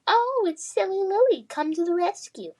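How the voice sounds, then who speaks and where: A woman's voice: very high-pitched, lively intonation, normal speed, a little mellow, pitched above the performer's own voice. A woman voicing a cruel, mocking bully boy in a children's fantasy storybook. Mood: sneering, taunting. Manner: mocking, taunting.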